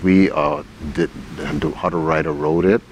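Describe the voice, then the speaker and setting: Really deep creaky guy talking in an interview, kinda halting and thoughtful, lots of background noise. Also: steady tone. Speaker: stage play director and actor. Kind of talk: interview answers about a community stage play. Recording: noisy recording with rumbling ambience.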